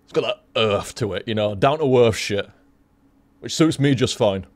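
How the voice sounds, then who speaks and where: A man's voice: on the low side, moderate pace, a little mellow, no echo. Swearing Yorkshire man chatting to stream. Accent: Yorkshire, softened. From Leeds, Yorkshire, England. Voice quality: swears freely, laughs often, repeats himself. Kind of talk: casual rambling stream chat.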